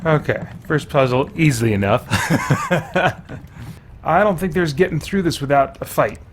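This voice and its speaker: A man's voice: on the high side, expressive, a little slow, noisy background. A man giving casual video game commentary as a reviewer, thinking aloud in informal language with mild exclamations.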